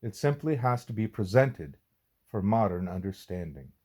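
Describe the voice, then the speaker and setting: A man reading a formal religious text aloud as audiobook narration, with deliberate, measured phrasing. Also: lowish voice, very animated, mellow, slightly rough. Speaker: reader of a spiritual text.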